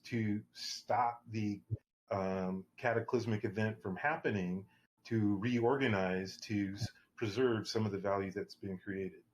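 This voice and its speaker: Low-voiced male panelist, discursive, full of fillers and restarts. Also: a little mellow, a little hiss or hum, low-quality recording. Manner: rambling, with many restarts and fillers. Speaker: workshop participant.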